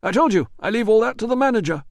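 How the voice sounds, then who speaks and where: A man voicing a panicky, blustering male employer in an audiobook, with rapid, indignant outbursts. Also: high voice, expressive, dry recording, clean studio sound, pitched above the performer's own voice. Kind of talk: audiobook dialogue.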